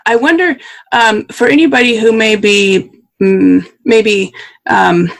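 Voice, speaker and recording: A man hosting a podcast interview, asking gentle questions with halting fillers. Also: high voice, creaky, poor audio. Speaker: podcast host.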